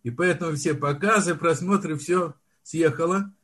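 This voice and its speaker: An older man, a film director, telling his story in a relaxed interview, often restarting and searching for words. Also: a little high, moderate pace, slightly rough. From Soviet Union. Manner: reminiscing, with frequent restarts and word searches. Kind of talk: unhurried storytelling in conversation.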